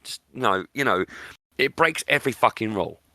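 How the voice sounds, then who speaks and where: A man's voice: fairly low pitch, animated, normal speed, bright, forceful tone, no room sound. A man hosting a podcast, discussing books in an opinionated, emphatic way and repeating words for stress. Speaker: podcast host.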